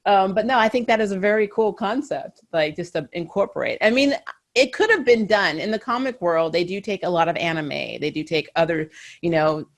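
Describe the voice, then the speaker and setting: A woman's voice: fairly low pitch, a little fast. A woman hosting a casual, enthusiastic chat about comics and anime, often breaking into laughter. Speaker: podcast host and interviewer.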